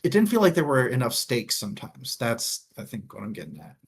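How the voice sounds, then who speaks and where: A man talks through his thoughts as co-host of a relaxed book chat. His voice sits at a middle pitch, and he often feels his way haltingly toward each point. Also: vocal fry, a little hiss or hum. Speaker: co-host of a book discussion show. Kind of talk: casual book discussion.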